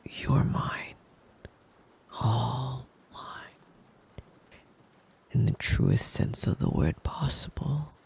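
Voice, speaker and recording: A man playing a devoted, possessive young wolf-boy lover in an intimate ASMR roleplay, tender and breathy, often slipping into a whisper. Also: medium pitch, very animated, a little mellow, full character voice. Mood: intense, possessive. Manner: tender, doting, slow and lingering with pet names. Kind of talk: intimate ASMR roleplay, soothing bedtime murmur.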